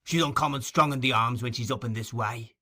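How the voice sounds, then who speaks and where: A man playing a brutish robber from a classic storybook. He is a growling bully with a forceful, contemptuous delivery. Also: mid-range voice, brisk. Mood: gruff.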